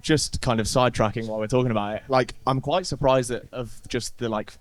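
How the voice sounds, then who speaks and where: A man's voice: medium pitch, fairly even intonation, brisk, no echo. Man asking hesitant questions, dry sound. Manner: hesitant questions with many fillers. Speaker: podcast host. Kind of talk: conversational podcast interview.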